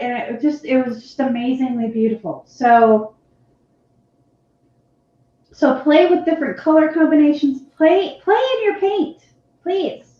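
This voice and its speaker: A woman co-hosting a live painting stream, chatting in a rambling way and laughing often. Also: sits high. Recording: very echoey, dull lo-fi sound.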